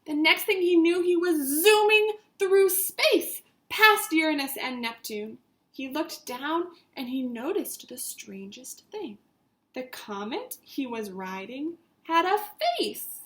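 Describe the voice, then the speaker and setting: A woman with a high-pitched voice reads a children's story aloud like a teacher. She takes it very slowly, with animated, dramatic emphasis, and speaks straight to her young listeners. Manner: animated, addresses listeners directly as friends.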